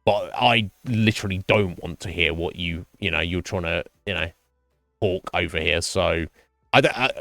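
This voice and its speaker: A man streaming live and chatting with viewers, thinking his answers through in a halting, thoughtful way. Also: sits low, gravelly, pushed and bright, no room sound, studio quality, soft background music. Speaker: Twitch streamer.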